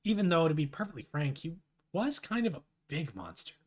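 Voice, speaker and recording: A playful teenage boy in a webcomic reading, voiced by a man. He is sarcastic and rambling, with a fairly high, lively voice. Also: fairly quick, husky. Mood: casual, teasing.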